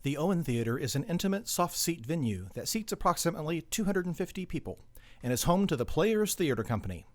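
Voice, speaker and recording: A man's voice: fairly high pitch, sing-song, quick pace, no echo. A man reading scripted promotional copy as an announcer. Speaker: announcer for a theater company.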